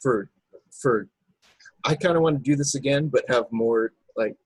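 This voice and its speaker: A man's voice: medium pitch, normal speed, husky, no room sound, poor audio. A man speaking as narrator and organizer of an informal table read over a video call. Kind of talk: scripted narration and casual chat.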